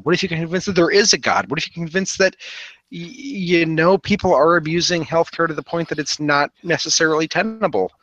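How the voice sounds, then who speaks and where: A man in earnest, conversational discussion, speaking haltingly and reflectively and repeating words for emphasis. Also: a little high, pushed and bright, dry recording.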